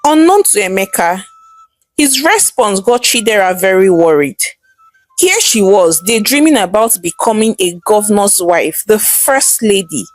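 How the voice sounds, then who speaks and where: A woman's voice: medium pitch, animated, a little slow, dry recording, faint music behind. A woman narrating a scripted folktale in a dramatic storytelling style.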